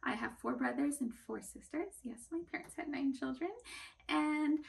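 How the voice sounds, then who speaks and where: A woman talks earnestly to camera in an unscripted vlog, wandering through her points with plenty of ums. Her voice sits somewhat high and carries a gravelly fry. She sounds a little distant from the microphone. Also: moderate pace, a little hiss or hum. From Quebec. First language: French. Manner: earnest, rambling with many ums. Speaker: vlogger.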